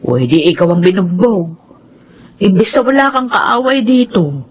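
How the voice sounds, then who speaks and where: Older motherly prison worker, fond and scolding, lively intonation, for Filipino radio drama. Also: mid-range voice, normal speed, breathy edge, dull audio. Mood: worried, scolding. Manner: fussy, animated scolding.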